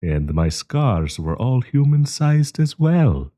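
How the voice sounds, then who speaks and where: A man's voice: medium pitch, big pitch swings, mellow. A man playing a kindly old professor with a hidden possessive menace, courtly and teasing in an intimate audio roleplay. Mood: sly, menacing. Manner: courtly, teasing, chuckling, deliberate.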